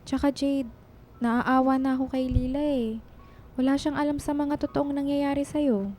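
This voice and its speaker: A woman playing a caring, supportive young friend in a radio drama, speaking in a soft, reassuring way. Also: high voice, fairly even intonation, moderate pace, slight background noise, natural voice. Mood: encouraging, concerned.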